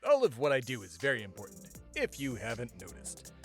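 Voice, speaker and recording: A man's voice: mid-range voice, huge pitch swings, brisk, fairly bright tone, faint music behind. A man playing a pompous, self-important male patriot who hosts a comedy podcast in a mock-serious, grandiose way. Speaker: podcast host. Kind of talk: mock-serious comedic monologue and dramatic reading.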